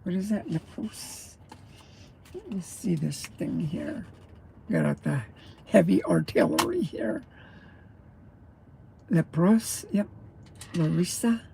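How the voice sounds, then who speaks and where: Slow, gravelly man chatting on a livestream. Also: high-pitched, huge pitch swings, mellow, slight background noise. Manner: rambling, greeting viewers by name; chewing while talking. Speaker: truck driver and livestreamer. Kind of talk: casual morning livestream chat.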